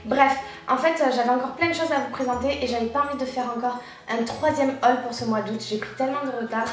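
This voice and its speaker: A woman's voice: high-pitched, fast talker. Woman beauty vlogger, stuffy with a cold, loose chatty delivery; roomy echo, distant mic. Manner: chatty, rambling, frequent fillers. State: congested with a cold. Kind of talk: casual makeup chat while applying products. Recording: very echoey room, off-mic, faint music.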